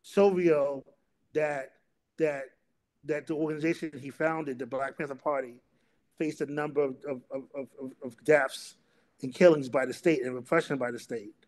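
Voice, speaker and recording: Halting man moderating, slightly high voice. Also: fairly even intonation, a little mellow, a little hiss or hum. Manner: halting, hesitant delivery with repeated words. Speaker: panel moderator. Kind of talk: introducing speakers and opening a panel discussion. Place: remote video call.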